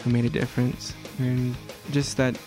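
A young man speaks earnestly about his own experience, stumbling and restarting now and then. His delivery is flat and steady, with a tone in the middle of the male range. A guitar bed plays clearly beneath him. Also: medium pitch. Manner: earnest, halting with repeated restarts. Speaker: youth radio reporter. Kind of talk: personal testimonial. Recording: music bed behind the voice.

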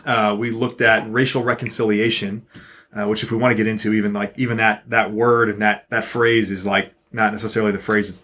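A man co-hosts a church podcast in a fairly low voice. He rambles warmly and fills pauses with plenty of uh and um. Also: a little hiss or hum. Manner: rambling, warm encouragement, frequent fillers. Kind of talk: casual chat.

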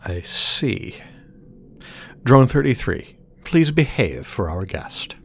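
A man's voice: medium pitch, big pitch swings, takes it slowly, creaky, a little hiss or hum, heavily acted. A man playing a reluctant robot craftsman in an audio drama, uneasy but stiffly polite and hesitant with his superiors. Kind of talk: scripted audio drama acting.

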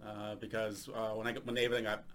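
A man's voice: lowish voice, monotone, fast talker, a bit bright, a little hiss or hum. A man hosting a casual interview, asking questions and joking. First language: not Swedish.

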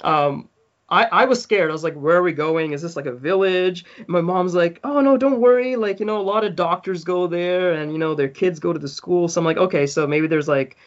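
A man's voice: sits high, fairly quick, lo-fi sound. A man in his thirties, originally from South Africa, telling stories as a podcast guest, laughing often and acting out the people he quotes. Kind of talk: casual storytelling.

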